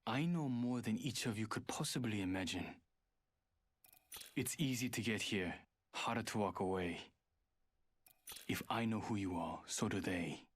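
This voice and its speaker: A man's voice: lowish voice, a little slow, long pauses, no echo. An actor voicing a guarded, arrogant male hacker in a video game, speaking in a terse, serious, superior way. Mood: stern, warning. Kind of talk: video game character voice lines.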